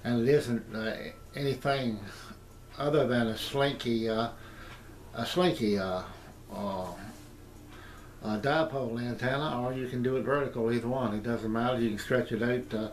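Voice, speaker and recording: An American man in his sixties giving a casual, unscripted hobby demonstration in a halting, rambling, repetitive way. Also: mid-range voice. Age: 60s. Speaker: amateur radio hobbyist presenting his gear. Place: small indoor radio room at home. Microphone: camera mic, tripod then handheld. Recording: roomy echo, background noise, camcorder.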